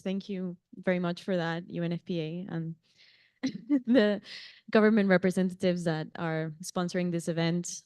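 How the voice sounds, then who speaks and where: A woman's voice: fairly low pitch, clean tone, no echo, clean studio sound. A woman from Mexico with a Mexican Spanish accent, a native Spanish speaker, moderating a conference panel in English with friendly laughs and many ums and restarts. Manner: warm, light laughs, frequent ums and restarts. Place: conference venue.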